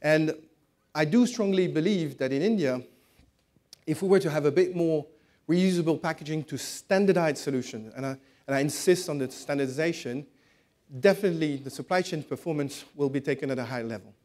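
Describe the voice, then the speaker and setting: A man's voice: on the high side, brisk, darkish tone. A man on a conference stage closing his presentation in an earnest, insistent way. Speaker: conference speaker.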